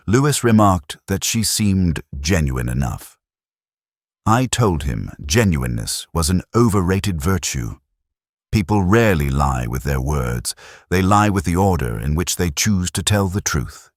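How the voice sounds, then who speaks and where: A man giving a measured, deliberate first-person reading of a literary detective story, as its narrator. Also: sits low, no room sound, studio quality.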